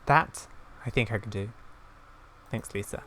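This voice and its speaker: A woman's voice: very deep voice, unhurried, a bit bright. Grieving young rider, read by a woman, noisy backdrop. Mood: resolved. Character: grieving young female rider, stoic and loyal. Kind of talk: reading game dialogue aloud. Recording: clear background noise with game ambience.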